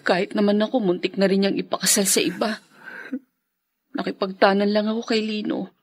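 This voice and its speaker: An actress playing a gentle, sorrowful younger sister in a Filipino radio drama. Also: female voice, mid-range voice, level tone, a little fast, natural voice. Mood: resigned.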